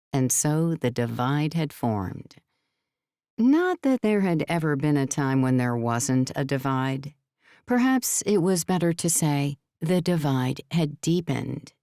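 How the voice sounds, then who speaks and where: A woman's voice: low voice, a little mellow, clean tone, no echo, clean studio sound. A woman reading scripted story narration as an audiobook narrator.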